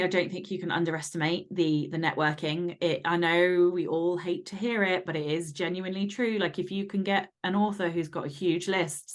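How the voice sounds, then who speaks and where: Female podcast guest, slightly low register, frank and sweary, speaking in an informal conversational style. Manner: blunt, sweary, candid. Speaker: podcast guest and fantasy romance author. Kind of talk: casual interview chat.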